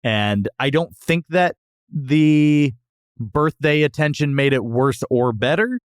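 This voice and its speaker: Male host, casual comedic review chat, lively intonation, playful mock-strictness, very dry sound. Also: on the high side, slow pace, clear, resonant tone, dry recording. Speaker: podcast host.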